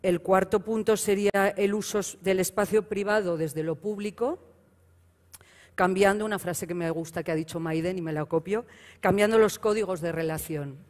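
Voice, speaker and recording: A woman's voice: medium pitch, fairly even intonation, moderate pace, a little mellow. A middle-aged woman moderating a conference panel, listing common points and introducing a speaker, with brief self-corrections. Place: large hall on stage. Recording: long reverb in big hall.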